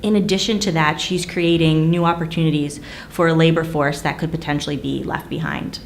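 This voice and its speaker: A woman with a low voice speaks as a managing director in a documentary interview, polished and professional. Faint ambient noise sits behind her. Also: fairly even intonation, brisk, gravelly.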